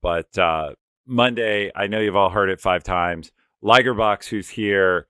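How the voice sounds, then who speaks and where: A man's voice: on the low side, unhurried, sharp tone, smooth, dry recording. A man co-hosting a casual livestream over a video call, talking informally and directly to the chat. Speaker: livestream co-host.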